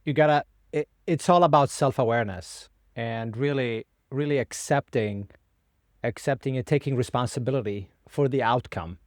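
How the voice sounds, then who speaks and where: Male podcast host, calm, mid-pitched. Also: normal speed, dry recording, clean studio sound. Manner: calm, frequent listening murmurs. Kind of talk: casual interview questions.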